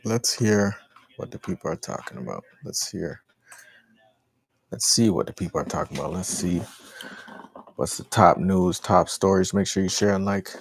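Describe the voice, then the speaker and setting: Gravelly, very deep-voiced man livestreaming. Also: normal speed, long pauses. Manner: slangy, repetitive, addressing the chat. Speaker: livestream host. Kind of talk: casual livestream commentary on news.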